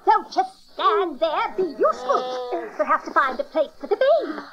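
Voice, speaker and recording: Muffled cassette audio drama with crowd noise behind. a bossy, no-nonsense village midwife with a high, very lively voice, scolding yet tender underneath. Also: female voice, normal speed, exaggerated voice. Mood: scolding, brisk. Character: brisk, scolding but tender innkeeper's wife and midwife. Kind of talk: dramatized audio Bible acting. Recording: dull cassette transfer, crowd sounds behind.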